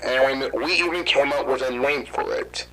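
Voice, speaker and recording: Man voicing a composed official interviewer, formal and measured, bright clear tone, horror audio drama. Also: medium pitch, low-quality recording. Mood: matter-of-fact.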